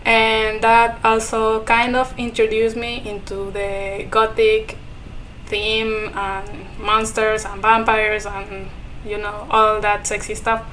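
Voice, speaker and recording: A woman's voice: fairly high pitch, monotone, takes it slowly, a bit bright, a little hiss or hum, poor audio. A young woman from Ecuador whose first language is Spanish, speaking English with an Ecuadorian Spanish accent. She is a guest in a casual podcast chat and talks with frequent giggles while searching for words.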